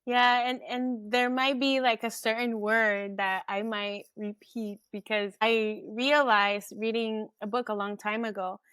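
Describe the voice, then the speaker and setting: A woman host, a native Spanish speaker, muses aloud in easygoing English and leans on lots of "like." Her voice sits fairly high. The sound is dry, with little room echo. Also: little pitch movement, measured pace, hardly any pauses, a bit bright, clear, resonant tone. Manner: reflective and chatty, many "like" fillers. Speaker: podcast host. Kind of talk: casual conversation.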